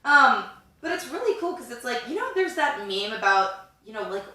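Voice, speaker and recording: A woman's voice: on the high side, big pitch swings, moderate pace, bright, forceful tone, heavy reverb, far from the mic. A woman in her twenties co-hosting a podcast, chatting in a rambling way with lots of fillers. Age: 20s. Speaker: podcast co-host. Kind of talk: casual chat.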